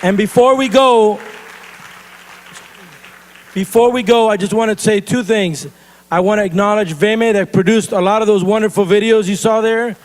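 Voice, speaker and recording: A man's voice: high-pitched, fairly even intonation, moderate pace, pauses often. A man hosting an awards event on stage, upbeat, thanking sponsors and rallying the crowd. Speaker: event host. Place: event stage before an audience.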